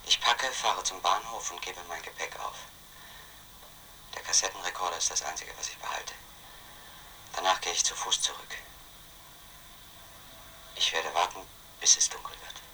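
A man's voice: sits low, fairly even intonation, normal speed, pauses often, bright, forceful tone, slight background noise, low-quality recording. An actor playing a brooding, obsessed man who narrates his own investigation in a radio play, intense and questioning himself. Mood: grim, resolved.

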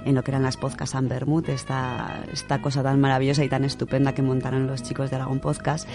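A man's voice: medium pitch, monotone, quick pace, over music. A man with an accent from Spain co-hosting a radio show in an enthusiastic, casual, chatty way. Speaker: podcast co-host presenting a literature section.

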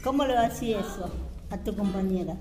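A woman's voice: medium pitch, quick pace, lightly acted. A woman playing a caring, reproachful friend in amateur student radio theatre. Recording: echoey room with music behind.

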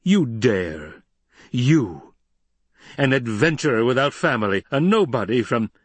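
A man's voice: mid-range voice, very animated, a little slow, slightly rough, dry recording, pitched above the performer's own voice. A man playing an older emperor, haughty and indignant, with a grating, pompous, blustering delivery. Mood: outraged.

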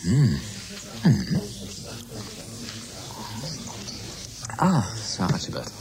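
A man's voice: on the low side, huge pitch swings, slow pace, crowd noise, full character voice. An actor in a German radio drama playing a hesitant, overly polite scientist who defers to his senior colleague. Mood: awkward, polite.

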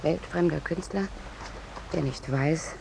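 An actress playing a pushy, self-important female war correspondent in a 1950s German radio play, talking in a brisk, insistent manner. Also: low-pitched, creaky, a little mellow, heavily acted. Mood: dismissive, scheming. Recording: noisy 1950s radio drama recording.

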